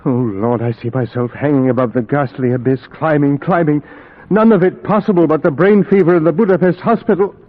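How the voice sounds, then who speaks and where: An actor playing a tormented, high-strung man afraid of madness, theatrical and overwrought in 1940s radio style. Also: a little fast, lightly acted. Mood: anguished. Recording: noisy, muffled old radio recording.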